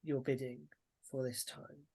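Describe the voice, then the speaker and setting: A man voicing an ancient, fading dragon spirit in a tabletop game, speaking in slow, grave, breathy tones. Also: medium pitch, flat delivery, a little mellow. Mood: solemn. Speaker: game master.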